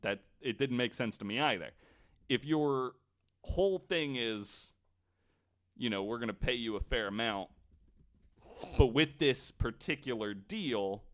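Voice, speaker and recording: A man presenting a researched story on a podcast in a casual, halting way, with informal asides. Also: medium pitch, expressive, a bit bright. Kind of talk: casual explanatory podcast talk.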